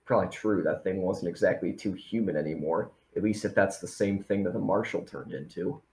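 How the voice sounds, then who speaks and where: A man playing a practical, steady spaceship crew member with casual, matter-of-fact phrasing in a tabletop game. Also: low voice, brisk. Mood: determined. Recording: echoey room.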